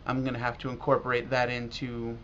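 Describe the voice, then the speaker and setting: An American man talking casually and reflectively in a video blog as a language learner, halting now and then with pauses. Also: mid-range voice, monotone, fairly bright tone. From United States. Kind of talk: casual talk to camera about learning. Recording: dull lo-fi audio with clear noise.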